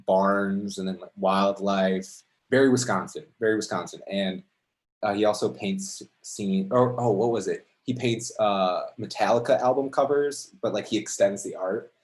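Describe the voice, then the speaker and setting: A man telling an unscripted personal story to an audience in a halting, conversational, reflective way. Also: on the low side. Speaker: amateur storyteller at a story slam.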